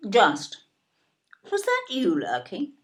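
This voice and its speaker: A woman's voice: a little high, huge pitch swings, takes it slowly, a little hiss or hum, exaggerated voice. A woman with a British accent playing a snobbish, self-absorbed older wife and mother in an audio play, genteel, fussy and disparaging. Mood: disdainful.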